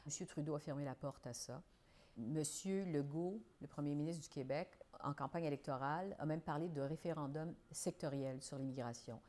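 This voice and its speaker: Woman interviewer with a low voice. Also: moderate pace, warm tone. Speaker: interviewer, show host. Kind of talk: political interview.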